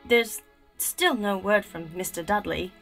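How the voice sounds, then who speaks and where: A woman's voice: mid-range voice, unhurried, a bit bright, faint music behind. A woman playing a polite, hesitant secretary in a radio-style drama. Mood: deferential. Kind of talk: radio-play style acting.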